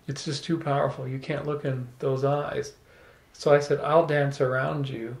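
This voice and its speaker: A middle-aged man telling an animated, playful story to a small audience, holding a handheld microphone. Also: medium pitch, moderate pace. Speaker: salon speaker. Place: room with small live audience. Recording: echoey room.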